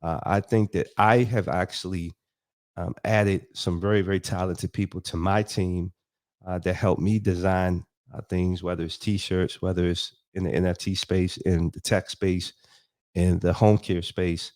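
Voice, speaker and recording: A man's voice: deep voice, level tone, a little slow, a little mellow. A man with a stuffy nose from a cold, talking loosely through his thoughts with frequent ums and restarts as he comments on and reads out a news article. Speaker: host of a crypto commentary show. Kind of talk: casual commentary, reading a news article aloud.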